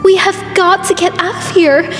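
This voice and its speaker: A woman's voice: very high-pitched, steady tone, moderate pace. A woman voicing a vain young pop-star princess pony, whining in a dramatic, exasperated manner. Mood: anxious, urgent. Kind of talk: cartoon voice acting in a comic dub. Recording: very echoey, long reverb, music underneath.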